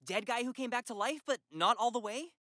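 A woman voicing a cheeky, panicky young boy, melodramatic and flippant, in an animated comedy. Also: on the high side, a little fast, dry recording, studio quality, close to an everyday voice. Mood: puzzled. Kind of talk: animated comedy character acting.

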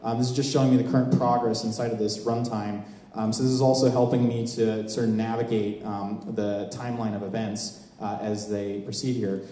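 A man giving a live demo talk to an audience as a software presenter, explaining in a halting way. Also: lowish voice, little pitch movement. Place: large open indoor event space, on a small stage before a seated audience. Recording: echoey hall with long reverb.

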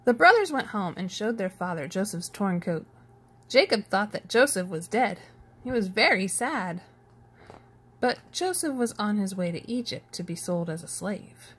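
Woman, storybook reader, very lively pitch, a little husky, expressive read-aloud. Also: moderate pace. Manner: animated, storytelling delivery. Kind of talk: expressive read-aloud of a children's picture book.

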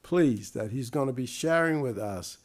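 An older man introducing a guest speaker in a halting, formal way, full of pauses, at a desk microphone in a lecture room. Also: mid-range voice, lively intonation.

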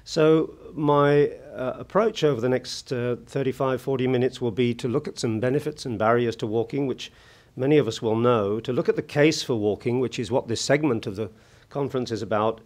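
A British man with a husky voice giving a formal conference talk from a lectern, measured and full of hesitant fillers. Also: mid-range voice, darkish tone. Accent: British English. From United Kingdom. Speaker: conference keynote speaker. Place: large conference hall at a lectern. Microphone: lectern microphone.

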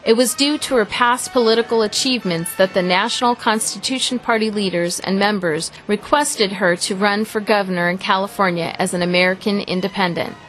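A woman reading a scripted promotional introduction in a measured, formal way. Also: medium pitch, fairly bright tone. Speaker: narrator. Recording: music bed underneath.

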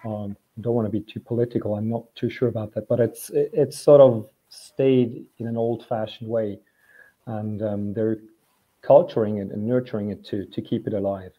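A man from Switzerland, a native German speaker, explaining things carefully and haltingly as a guest on a video call. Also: fairly low pitch, steady tone, lo-fi sound. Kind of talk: casual video call chat.

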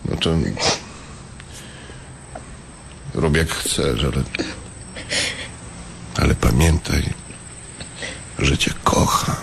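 An actor playing a weak, apologetic gambler husband in a radio drama, hesitant, evasive and pleading. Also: male voice, a bass voice, slow pace, gravelly, exaggerated voice. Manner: hesitant, evasive, mumbled half-answers. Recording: loud background ambience and vehicle noise.

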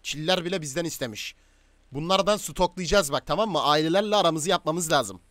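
Bossy, excitable male gamer, quick delivery, noisy recording, casual roleplay banter. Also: a little high. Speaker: gaming video host and gang boss in roleplay. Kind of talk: casual in-game roleplay chat.

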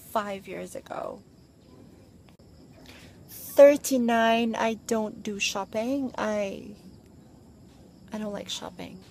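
Young girl vlogging outside on her phone, unhurried and playful, a bit high-pitched. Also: a little mellow, slight background noise. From Philippines. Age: young adult. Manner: playful, giggly, teasing asides. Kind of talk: casual chat listing facts about herself. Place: outdoors among trees. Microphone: phone at arm's length with earphones.

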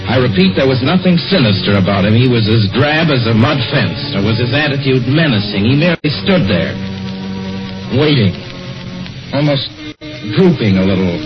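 An actor playing an uneasy lone motorist, telling his story in a deliberate, dramatic 1940s radio style. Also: medium pitch, lightly acted. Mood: haunted, deliberate. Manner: brooding first-person storytelling, deliberate and dramatic. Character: lone male motorist haunted by a hitchhiker, increasingly uneasy. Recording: old muffled radio recording with music.

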